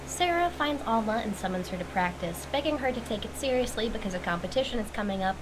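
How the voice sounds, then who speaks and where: A woman's voice: on the high side, lively intonation, quick pace. Wry woman commentator over background noise. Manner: wry, critical. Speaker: video commentator reviewing a cartoon. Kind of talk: recap commentary.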